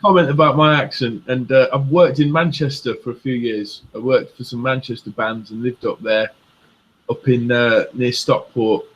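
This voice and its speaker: A man's voice: mid-range voice, steady tone, moderate pace, lo-fi sound. A man from England chatting casually as a show co-host, his Midlands accent mixed with northern and southern traces. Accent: English Midlands with northern and southern traces. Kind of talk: casual chat.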